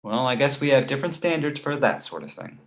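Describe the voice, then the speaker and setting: A man's voice: mid-range voice, sing-song, fast, fairly bright tone. Male comedy table read: actor as exasperated, cautious editor friend, widely swinging intonation. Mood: disapproving.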